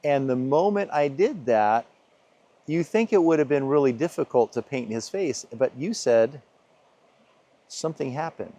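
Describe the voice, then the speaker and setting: An American man interviewing a painter, asking warm leading questions in an easy conversational way. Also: mid-range voice, lively intonation, measured pace. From United States. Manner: friendly, leading questions, talks over answers.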